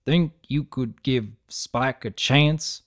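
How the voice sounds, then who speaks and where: Woman doing a shy, folksy farm stallion. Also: extremely low pitch. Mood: hesitant. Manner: hesitant, folksy, halting. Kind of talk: dramatic audiobook reading.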